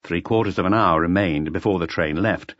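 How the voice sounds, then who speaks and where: A man's voice: low-pitched, brisk, fairly bright tone, no room sound, muffled recording. A man narrating an audiobook in a scripted, dramatic storytelling style.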